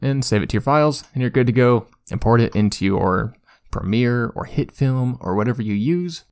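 A man's voice: medium pitch, expressive, normal speed, a little mellow, clean tone. Self-deprecating man giving a casual tutorial. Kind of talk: casual software screen-recording tutorial.

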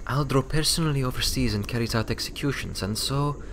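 A man voicing a courteous, composed female pony rebel in a storybook, speaking in a measured, formal way. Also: medium pitch, a bit bright, a little hiss or hum, pitched above the performer's own voice, natural voice. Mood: calm, reassuring. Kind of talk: storybook character voice.

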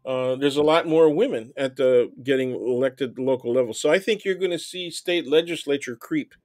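A man's voice: mid-range voice, normal speed, a little mellow. An older American man bantering casually as a talk show guest, blunt, salty and jokey.

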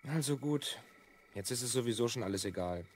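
A male actor playing an earnest, excitable twelve-year-old boy from the future in a children's audio drama. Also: mid-range voice, fairly quick, lightly acted. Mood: anxious, despairing. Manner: earnest, exclamatory, emphatic.

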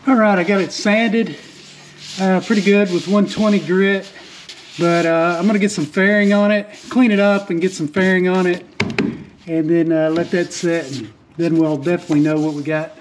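High-voiced man narrating boat work. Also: moderate pace. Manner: relaxed, plainspoken, frequent "uh" fillers and restarts. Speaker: boat refit vlogger. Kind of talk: casual how-to narration while working. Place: outdoors on a sailboat deck.

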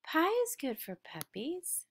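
Lady doing a cute little girl puppy from a storybook, always after food, bright swoopy voice, very expressive. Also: high voice, slow, voice pushed up, exaggerated voice. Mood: delighted.

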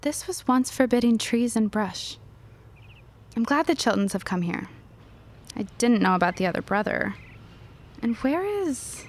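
Woman acting a high-voiced melodramatic teenage girl. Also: lively intonation, a little slow, pauses often. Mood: curious. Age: teenage character. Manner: melodramatic, emphatic. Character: melodramatic, gossipy, scheming teenage schoolgirl. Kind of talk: period audio drama acting.

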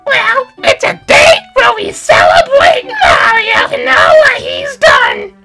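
Shrieking, very high little mushroom creature. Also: female voice, takes it slowly, gravelly, soft background music, full character voice. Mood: excited. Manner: shouty, exclaiming, chirpy.

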